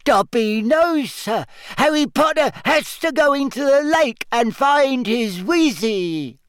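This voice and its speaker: A man voicing a small, eager male house elf in an audiobook, squeaky, earnest and deferential, speaking of himself in the third person. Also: expressive, slow, smooth, dry recording, exaggerated voice. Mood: earnest, anxious. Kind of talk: audiobook character acting.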